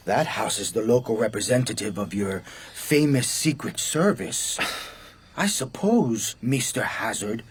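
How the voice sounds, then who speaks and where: An actor playing a menacing, curt and crude gangster assassin in a radio drama. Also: male voice, lowish voice, lively intonation, measured pace, a little hiss or hum. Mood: sly, suspicious.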